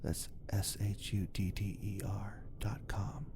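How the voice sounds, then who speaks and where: A man giving a hushed, scripted podcast sponsor read as announcer. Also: fairly low pitch, little pitch movement, slow pace, whispered.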